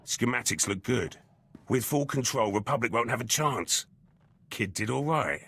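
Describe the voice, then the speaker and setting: An actor voicing a gruff, battle-hardened soldier in a video game, terse and blunt, speaking in clipped sentences. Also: male voice, mid-range voice, animated, normal speed, a bit bright, a little hiss or hum. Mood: gruff, eager. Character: gruff, battle-hardened male military commando, loyal and brash.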